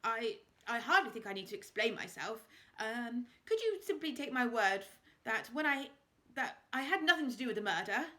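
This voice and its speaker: A woman's voice: on the high side, lively intonation, normal speed, hardly any pauses, pushed and bright. A woman voicing a plump, flustered aristocratic father who stammers and tries to sound dignified.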